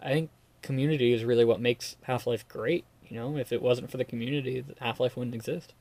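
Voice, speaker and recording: A man's voice: medium pitch, fairly even intonation, brisk, darkish tone. Rambling man hosting a podcast. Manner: rambling, filler-heavy. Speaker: podcast host. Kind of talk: casual podcast chat.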